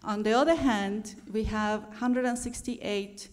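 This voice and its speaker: A woman, speaking as a guest on a church panel in a relaxed, hesitant way with many fillers. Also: mid-range voice, a little slow. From Mexico. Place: church stage before a congregation. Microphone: handheld mic.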